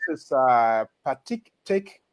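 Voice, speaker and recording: A man hosting a radio interview, lecturing listeners in a stern way with sudden emphatic jumps in pitch. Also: lively intonation, takes it slowly, smooth. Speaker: radio host.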